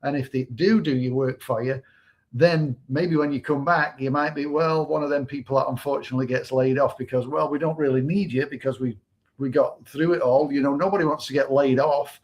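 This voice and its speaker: A man from England with a Manchester accent, co-hosting a casual online talk show in a relaxed, conversational way. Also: mid-range voice, brisk. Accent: Manchester English. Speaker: co-host.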